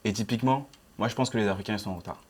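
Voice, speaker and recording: Fast-talking young man from Benin. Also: lowish voice, a little mellow, slightly rough. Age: 20s. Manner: quick, assured, explaining. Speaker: engineering student guest. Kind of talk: casual group discussion.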